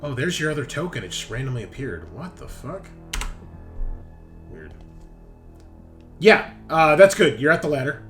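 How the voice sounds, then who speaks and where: Man refereeing a tabletop game, swinging pitch. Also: very animated, normal speed, pauses often, a bit bright, soft background music. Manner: informal, explains rules step by step. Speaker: game master of a tabletop roleplaying game. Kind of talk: casual game refereeing and narration.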